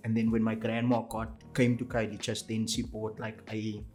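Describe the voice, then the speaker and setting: A man's voice: lowish voice, completely flat delivery, moderate pace, a little mellow, soft background music. A young South African man with a Cape Town accent, a podcast guest telling his life story in a casual, storytelling way, with many fillers and restarts. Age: young adult.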